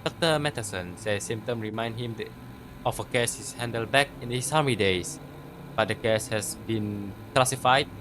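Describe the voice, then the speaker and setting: A man's voice: lowish voice, normal speed, background noise. A man doing casual let's play commentary, stumbling as he reads text aloud and swearing freely. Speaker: gaming video host.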